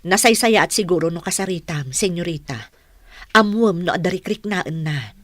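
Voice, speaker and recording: An actress with a Filipino accent playing a concerned, respectful household helper in a radio drama. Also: female voice, lowish voice, expressive, moderate pace, dry recording.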